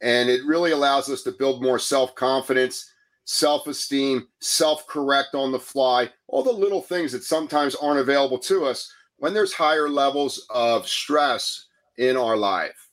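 A man hosting an interview, who also coaches and leads a guided breathing exercise, speaking in a calm, measured, soothing way. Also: mid-range voice. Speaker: host, coach.

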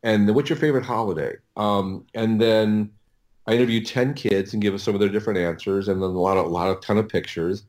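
A man talking casually as an interview guest, explaining things in a halting way and often repeating his words. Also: fairly low pitch, fairly even intonation, a little mellow. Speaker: interview guest, audio producer.

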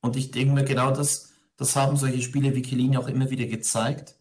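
A man speaks as an interview guest, with a mid-range voice. He talks conversationally and thoughtfully, often pausing as he shapes his phrases. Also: medium pitch, little pitch movement, darkish tone. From grew up in Ingolstadt, Germany.

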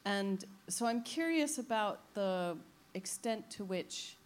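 A woman's voice: mid-range voice, animated, takes it slowly. A woman from the United States, an audience member at a live event, earnestly asking a slightly hesitant question. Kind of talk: question from the floor.

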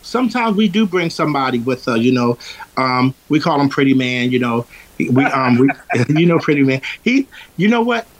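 A man's voice: mid-range voice, very animated, moderate pace, rough recording. A man from Philadelphia, a singer who says he has a powerful voice, talking through an interview in a loose, rambling way with lots of fillers. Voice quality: strong, powerful voice. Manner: rambling, with many fillers and restarts. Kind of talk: casual interview chat.

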